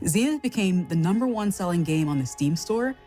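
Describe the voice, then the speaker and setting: A woman's voice: fairly low pitch, lively intonation, a little fast, warm tone, faint music behind. A woman reading scripted retrospective narration as a game developer telling her team's story.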